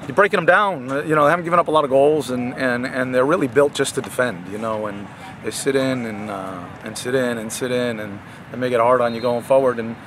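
Older male coach, post-game sideline interview, halting delivery, clear outdoor noise. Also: mid-range voice, fairly bright tone. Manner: halting, many restarts and fillers. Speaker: head soccer coach. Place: outdoor soccer field at night.

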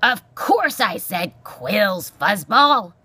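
A woman's voice: mid-range voice, big pitch swings, very slow, bright, forceful tone, husky, no echo, voice pushed up, heavily acted. A woman voicing a grumpy old male porcupine in a storybook, barking scornful insults in a growling bluster.

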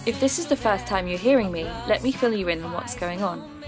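Woman, scripted narration, measured, earnest, music clearly underneath. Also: mid-range voice, lively intonation. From England. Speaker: podcast narrator.

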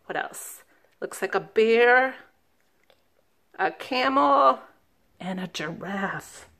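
A woman, a children's librarian, reading a picture book aloud slowly and gently, stopping to ask the listeners questions. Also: fairly high pitch, expressive, creaky. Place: indoors at a table, camera above the book.

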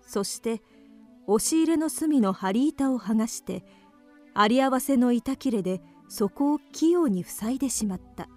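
A woman's voice: a little high, lively intonation, normal speed, dark tone, soft background music, lightly acted. A woman narrating a radio drama from a script.

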